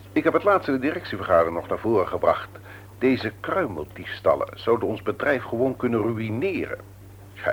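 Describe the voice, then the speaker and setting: An actor playing a formal, self-important company boss in a Dutch radio play, pompous and emphatic. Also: male voice, lowish voice, a little hiss or hum. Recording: muffled old radio play recording.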